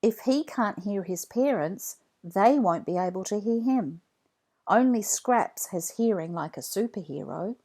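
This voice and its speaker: A woman narrating a children's read-along story from a script, in an expressive storytelling manner. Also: medium pitch, a little slow.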